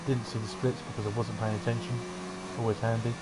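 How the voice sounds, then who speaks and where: A man giving relaxed, rambling commentary on an online racing qualifying session. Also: lowish voice, quick pace, heavy background noise. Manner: relaxed, rambling, self-deprecating asides. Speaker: race commentator.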